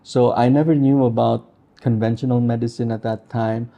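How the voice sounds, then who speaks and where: A man in his forties from the Philippines, a doctor answering interview questions in a measured, explanatory way. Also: mid-range voice, level tone, creaky, mellow, muffled recording. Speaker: integrative medicine doctor.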